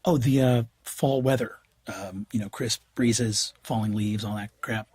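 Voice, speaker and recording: A man's voice: fairly low pitch, fairly even intonation, measured pace, darkish tone, slightly rough, dry recording. An actor playing an awkward, eager young student tutor in an audio drama, stammering and joking as he rambles. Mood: nervous, upbeat. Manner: hesitant, stammering, jokey rambling. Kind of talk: naturalistic audio drama acting.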